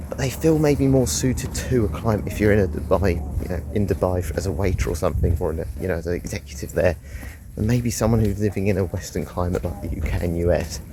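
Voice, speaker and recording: Deep-voiced sniffly man. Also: background noise. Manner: chatty, rambling, with sighs. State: sniffly with a head cold. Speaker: fragrance reviewer. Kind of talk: casual review while walking. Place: outdoors on a walk.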